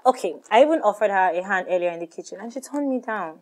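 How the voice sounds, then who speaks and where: A woman's voice: mid-range voice, fast talker, lightly acted. A woman with a Nigerian accent playing a young girlfriend who insists another woman dislikes her. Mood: insistent. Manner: pleading then mocking, lively.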